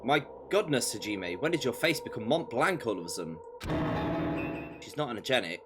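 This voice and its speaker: Animated man streaming with game music. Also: medium pitch, normal speed. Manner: dramatic read-aloud mixed with incredulous wisecracks. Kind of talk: live visual novel let's play, reading dialogue aloud with joking commentary.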